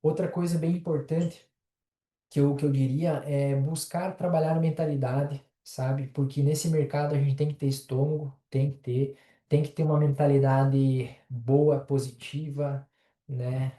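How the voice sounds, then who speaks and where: A man talks with a very dark, mellow voice, halting now and then on filler sounds. The recording sits in an echoey room. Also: fairly high pitch. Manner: relaxed, hesitant with many fillers. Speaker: podcast guest, affiliate marketer. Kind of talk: casual podcast chat.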